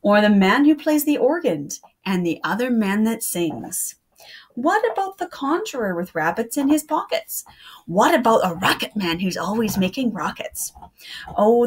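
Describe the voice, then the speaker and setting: A woman's voice: mid-range voice, breathy edge. A woman in her thirties chatting to young listeners and family and reading children's poems aloud as a storyteller. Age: 30s. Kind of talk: casual introduction and read-aloud of children's poems.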